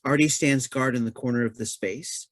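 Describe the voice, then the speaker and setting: A man's voice: mid-range voice, normal speed, no echo. Man reading stage directions, dry sound. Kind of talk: scripted narration.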